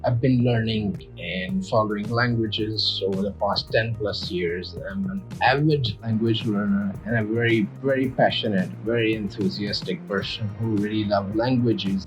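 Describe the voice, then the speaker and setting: A man's voice: fairly low pitch, measured pace, music in the background, poor audio. A man from Pakistan whose first languages are Urdu and Punjabi, earnestly teaching a casual beginners' video lesson and often repeating himself.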